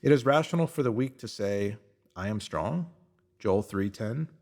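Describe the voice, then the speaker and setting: A man with a somewhat low-pitched voice reads a scripted motivational text aloud. His delivery is earnest and instructive, leaning on rhetorical questions as he narrates. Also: animated, normal speed, a little mellow. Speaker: narrator reading a written motivational post.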